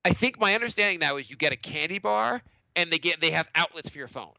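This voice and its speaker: Fast, lively man telling a story. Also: a little high, gravelly, dry recording, muffled recording. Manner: animated, acts out other people's lines in a raised voice. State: slightly congested from a cold. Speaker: podcast co-host. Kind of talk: casual storytelling chat.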